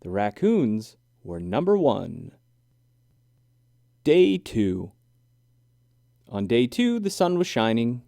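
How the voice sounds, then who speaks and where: Male storybook reader, expressive and sing-song, unhurried pace, close dry sound. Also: mid-range voice, vocal fry, no echo. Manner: animated, deliberate, sing-song rhyming delivery. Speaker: children's book reader. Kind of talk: expressive storybook read-aloud.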